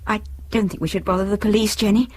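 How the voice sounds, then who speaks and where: Actress as a husky, worried middle-aged British wife. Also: female voice, medium pitch, fairly even intonation, fast, lightly acted. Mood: fretful. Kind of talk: naturalistic radio drama acting.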